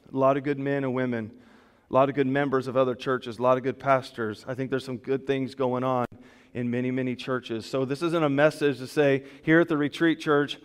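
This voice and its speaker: A male pastor with a big, carrying voice preaches in a relaxed, conversational way, tossing in casual asides. His tone sits a little high and comes through smooth and resonant. A long church reverb trails after his words from the microphone. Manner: loud, conversational, self-deprecating asides. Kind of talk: sermon. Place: church sanctuary.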